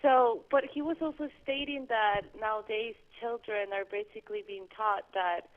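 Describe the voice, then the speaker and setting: A woman calling in over a phone line. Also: a little high. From Guatemala. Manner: earnest, halting, restarts often. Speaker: caller. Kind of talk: call-in radio chat. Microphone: home landline phone.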